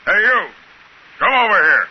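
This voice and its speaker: An American actor playing a gruff, talkative spaceship captain in a 1950s radio drama. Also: male voice, high voice, sing-song, normal speed, exaggerated voice. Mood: commanding, baffled. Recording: dull band-limited 1950s radio recording.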